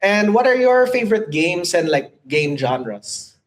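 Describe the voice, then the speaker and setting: A man moderating a panel, asking relaxed, rambling questions with plenty of fillers. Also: sits high, measured pace, a little hiss or hum.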